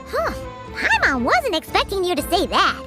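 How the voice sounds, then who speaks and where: Tiny chirpy fairy mascot from an anime game, super squeaky, music behind. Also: female voice, wildly swooping pitch, normal speed, full character voice. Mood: curious. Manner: speaks of self in third person. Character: tiny childlike floating fairy companion, chirpy, naive.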